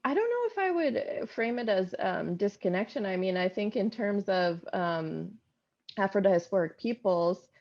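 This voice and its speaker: A woman philosopher on a panel, answering questions thoughtfully with frequent pauses and fillers over a video call. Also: mid-range voice, expressive, muffled recording. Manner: thoughtful, halting, many fillers.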